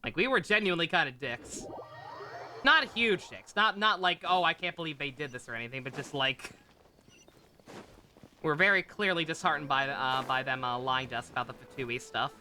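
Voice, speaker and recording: A man giving casual, rambling live commentary as a game streamer, with animated reactions. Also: on the high side, normal speed, a little hiss or hum.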